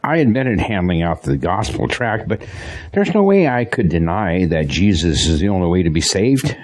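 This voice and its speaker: An actor playing a devout, steadfast pastor in an audio drama, speaking in an earnest, resolute way. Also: male voice, low voice, big pitch swings, moderate pace, a little mellow. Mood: steady, concerned. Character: devout, steadfast male pastor facing persecution, married.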